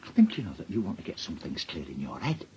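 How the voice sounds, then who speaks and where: A self-pitying Northern English philanderer in a radio drama. His voice sits low but swings widely when agitated. Faint noise lies behind it. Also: huge pitch swings, fast, a little mellow. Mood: defensive. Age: middle-aged. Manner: swearing, agitated, defensive. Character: jittery, self-pitying philandering husband, defensive and resentful.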